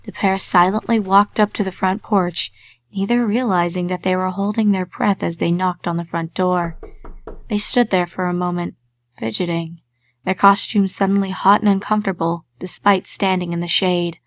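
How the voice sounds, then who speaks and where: A woman reading a dark fan story aloud in a measured way, dropping to a hush on eerie lines. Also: fairly low pitch, fairly even intonation, no room sound. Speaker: reader.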